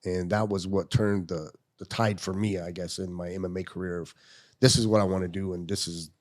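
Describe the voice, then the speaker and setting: A man's voice: deep voice, fairly quick. A man in his fifties, a podcast guest telling stories in casual chat and acting out the quotes. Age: 50s. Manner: animated storyteller, acts out quotes.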